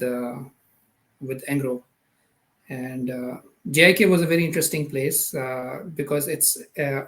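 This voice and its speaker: A man in his thirties from Pakistan, with a Pakistani accent, answering as an interview guest in a casual, hesitant way and often circling back to rephrase. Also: mid-range voice. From Karachi, Pakistan. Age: 30s. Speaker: podcast guest, product manager.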